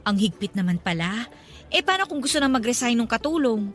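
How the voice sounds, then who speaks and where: A woman's voice: on the high side, very animated, a little fast. A woman playing an earnest, loyal young flower vendor in a radio drama. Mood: curious, chatty.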